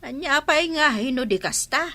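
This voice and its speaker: Actress as frank village woman, bright voice. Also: sits high, animated, normal speed, no echo, heavily acted. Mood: reproachful. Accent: Filipino, Ilocano. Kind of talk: Ilocano radio comedy drama acting.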